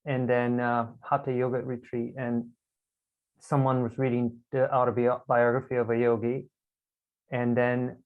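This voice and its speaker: A man's voice: mid-range voice, completely flat delivery, unhurried, phone-quality sound. A man from Brazil, a podcast guest, telling his story in a candid, reflective and self-amused way over a video call. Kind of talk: casual storytelling conversation.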